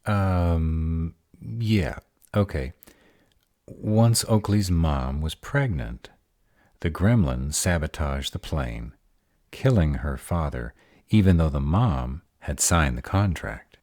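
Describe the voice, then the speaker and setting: A man's voice: extremely low pitch, animated, takes it slowly, darkish tone, no room sound, studio quality, exaggerated voice. A man playing a grumbly, smitten, easily distracted were-dragon in a fantasy audio roleplay, grumbling in conversational asides.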